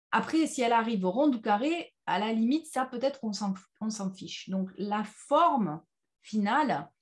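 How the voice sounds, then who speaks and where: Woman leading an informal workshop, halting delivery. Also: mid-range voice. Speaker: personal development workshop leader. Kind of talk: informal interactive online workshop talk with slides.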